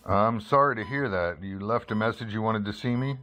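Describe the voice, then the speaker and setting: A man's voice: on the low side, fairly quick, bright, forceful tone, a little hiss or hum. A man playing a persistent investigative reporter in an old-time radio drama, matter-of-fact and clipped with a sardonic edge. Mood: dry, probing. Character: persistent, dry-witted male investigative newspaper reporter. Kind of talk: old-time radio drama, first-person narration and dialogue.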